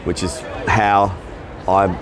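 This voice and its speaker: A man's voice: deep voice, flat delivery, slow pace, noisy background. A middle-aged Australian man, a voice actor telling his career story in a loose, rambling way at a convention. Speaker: voice actor interviewee. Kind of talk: casual interview answers. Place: convention floor.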